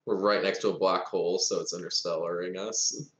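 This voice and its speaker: A man's voice: sits low, fairly even intonation, brisk, low-quality recording. A man playing a tabletop roleplaying game, tossing out casual jokes. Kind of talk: casual joking banter.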